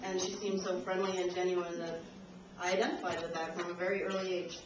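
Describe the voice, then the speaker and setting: A woman's voice: on the low side, flat delivery, brisk. A young woman reading a prepared speech to an audience, a little nervous and laughing now and then. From Trinidad and Tobago. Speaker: invited speaker. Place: university lecture room. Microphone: camcorder from the audience. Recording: roomy, distant, dull camcorder audio with noise.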